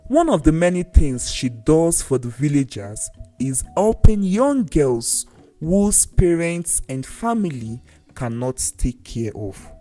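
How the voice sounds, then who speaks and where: A storyteller narrating a scripted folktale in a deliberate, dramatic way, with pauses between phrases. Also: mid-range voice, sing-song, warm tone. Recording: voice over faint music. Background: faint background music.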